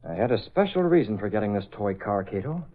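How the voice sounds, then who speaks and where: An actor playing a shrewd, decisive newspaper boss with a brisk, authoritative manner in an old radio drama. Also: male voice, fairly low pitch, big pitch swings. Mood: confiding, purposeful. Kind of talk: 1930s-40s radio acting. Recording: tinny phone-like old radio recording.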